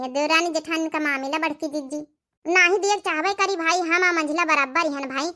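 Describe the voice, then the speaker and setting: A gossipy, opinionated village daughter-in-law from a cartoon comedy played by a woman. The voice is extremely high and bright, almost childlike in pitch, with an Awadhi accent and a talkative, advising manner. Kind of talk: animated family comedy dialogue.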